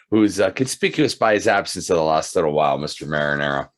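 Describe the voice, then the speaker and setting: Low-voiced man hosting a casual podcast. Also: normal speed. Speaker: podcast host. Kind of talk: casual chat.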